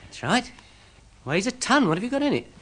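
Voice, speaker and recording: A man's voice: very high voice, sing-song, a little fast, fairly bright tone, slight background noise, exaggerated voice. An English actor playing a shifty, officious male office rival in a radio drama, clipped and needling.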